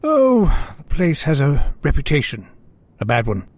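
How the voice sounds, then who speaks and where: A man's voice: on the high side, huge pitch swings, a little slow, a little mellow, dry recording, exaggerated voice. A man playing a genial, philosophical hunting companion with a superstitious unease, in old-time radio drama style. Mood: uneasy.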